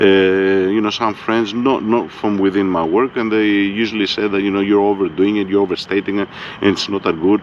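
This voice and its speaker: A low-voiced man with a Greek accent talks casually in a fairly monotone delivery, swearing freely and filling gaps with a habitual filler question. The recording sounds dull and lo-fi, with a faint hum of urban outdoor noise behind him. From Greece. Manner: laid-back, swears freely, keeps saying "you know what I mean". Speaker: vlogger. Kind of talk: casual monologue.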